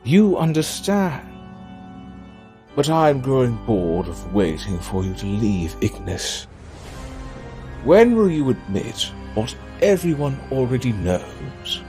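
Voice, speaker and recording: A man acting as a theatrical villain who drawls in a slow, highly varied voice that dips and leaps as he teases. He is possessive, seductive and menacing, performing in an audio drama over music. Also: big pitch swings, mellow.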